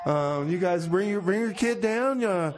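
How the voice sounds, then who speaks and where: The man on a small community radio show chatting loosely between songs, slightly high voice over noisy ambience. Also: expressive, a little slow. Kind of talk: casual banter and song back-announcing.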